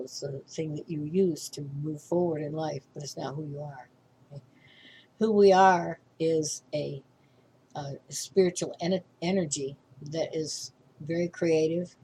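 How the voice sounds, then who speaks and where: A woman's voice: low voice, darkish tone, a little hiss or hum, low-quality recording. An older woman, a reverend, giving an informal spiritual class talk in a rambling way, often restarting and checking in with "okay?".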